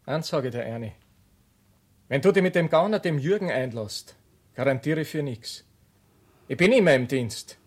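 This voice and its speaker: An actor playing a self-important young Viennese civil servant and jealous suitor in a radio play, earnest and officious. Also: male voice, fairly high pitch, expressive, moderate pace, long pauses. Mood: threatening.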